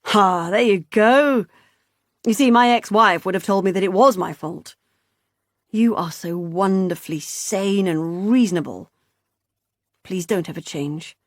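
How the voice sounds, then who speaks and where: A man's voice: high voice, measured pace, dry recording. Woman reading a joking divorced man in his thirties, storybook, dry close sound. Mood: teasing. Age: 30s.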